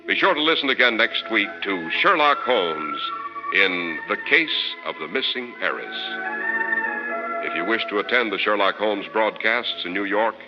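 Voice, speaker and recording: Animated American old-time radio announcer man. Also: lowish voice, moderate pace, music in the background. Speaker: radio announcer and sponsor's spokesman. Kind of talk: 1940s radio announcing, scripted. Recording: muffled, reverberant old radio broadcast.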